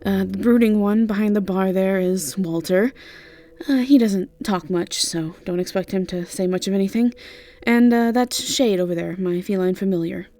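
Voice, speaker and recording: A woman voicing a brisk, chatty, easily irritated witch innkeeper in an audio drama, speaking casually with hesitations and swearing. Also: mid-range voice, mellow, close to an everyday voice. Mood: upbeat, casual. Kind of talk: audio drama character acting.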